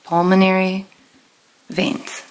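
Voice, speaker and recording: A woman teaching a step-by-step lesson in a deliberate, instructional way, pausing and stressing key terms. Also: mid-range voice, completely flat delivery, poor audio. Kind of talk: step-by-step lesson with pauses for note-taking.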